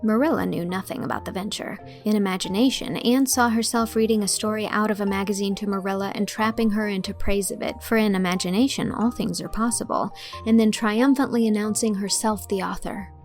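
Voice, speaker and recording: A female narrator tells a classic story aloud in a steady, measured voice. Her pitch stays in the middle of her range. Soft music runs faintly underneath. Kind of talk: audiobook narration of a classic novel.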